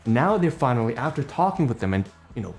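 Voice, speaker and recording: A man's voice: mid-range voice, very animated, fast talker, faint music behind. A man in his late twenties whose first language is Cantonese, ranting heatedly at home in a personal vlog, swearing often and tagging lines with "all right?" and "okay?". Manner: heated, profane ranting with many rhetorical tags. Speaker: vlogger.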